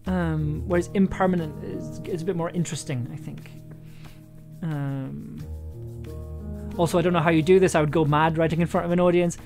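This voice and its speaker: A woman with a slightly low voice talks casually to a livestream while she works on writing. She thinks out loud in a halting way, often doubling back on her words, with music clearly playing behind her. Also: steady tone. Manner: halting, thinking aloud with self-repetition. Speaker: audio drama writer and live streamer.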